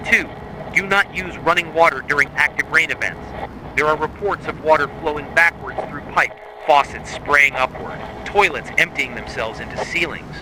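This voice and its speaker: A man reading a scripted horror narration styled as an official emergency bulletin, in a grave, measured, authoritative way. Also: on the high side, background noise. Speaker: narrator of a mock emergency broadcast.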